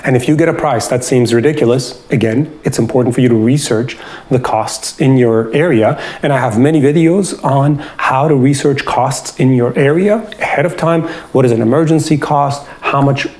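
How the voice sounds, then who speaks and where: A man's voice: medium pitch, moderate pace, a little mellow. A man talking in a conversational, instructive way, explaining practical advice as the host of an informal video. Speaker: host, doctor giving travel health advice. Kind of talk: explanatory advice talk with acted-out example dialogue.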